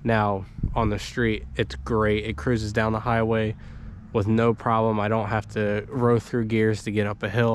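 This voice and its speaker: A man's voice: fairly low pitch, slight background noise. A young man with a stuffy cold, casually walking through his vehicle build as a vlogger, with lots of ums and likes. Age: young adult. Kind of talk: casual vlog walkthrough. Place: inside a parked vehicle, then outdoors beside it.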